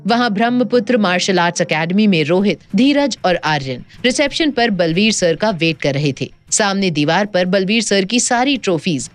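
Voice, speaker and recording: A woman narrating an audio drama in a scripted, dramatic storytelling style. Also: medium pitch. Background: background music.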